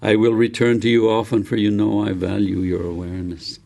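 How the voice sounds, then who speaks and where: A man narrating as a wise old grandfather from a storybook, his voice gravelly and fairly low. He speaks in a reflective, trusting, deliberate way to his fellow villagers. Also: little pitch movement, a little mellow. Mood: grateful.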